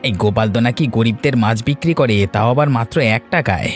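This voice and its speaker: A man's voice: medium pitch, fast, faint music behind, natural voice. A man playing a sly, ingratiating henchman who schemes for a rich boss in a cartoon story. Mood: eager, ingratiating. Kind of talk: Bengali cartoon story acting.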